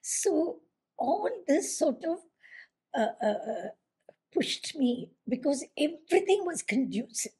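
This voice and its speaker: A woman's voice: sits high, big pitch swings, slow, mellow. A Tamil-speaking woman shares her spiritual experience earnestly, hesitating as she searches for words. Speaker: group participant sharing her experience. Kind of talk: personal testimony.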